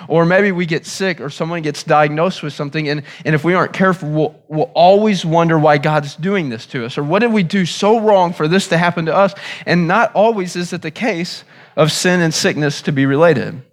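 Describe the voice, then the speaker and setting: A man's voice: fairly high pitch, fairly quick. Earnest man preaching a sermon. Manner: earnest teaching, repeats phrases, restarts often. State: hoarse, recovering from lost voice. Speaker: pastor.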